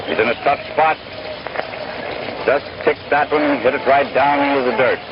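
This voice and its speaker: A man's voice: mid-range voice, moderate pace. An American man calling baseball play-by-play as an old-time radio commentator, in a folksy, conversational way. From United States. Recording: noisy, muffled 1930s-1940s radio recording.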